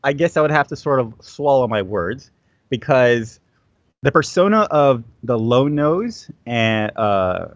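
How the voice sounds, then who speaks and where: A man's voice: medium pitch, lively intonation, measured pace, dry recording, lo-fi sound. A man talking as a guest in a casual interview, rambling and repeating his words with many fillers. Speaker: guest content creator.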